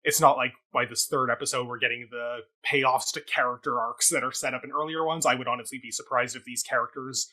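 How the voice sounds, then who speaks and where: A man's voice: mid-range voice, fairly even intonation, fairly quick, hardly any pauses, pushed and bright. A man co-hosting a theatre review show, talking casually and wordily, qualifying his points as he goes. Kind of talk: casual, discursive review talk.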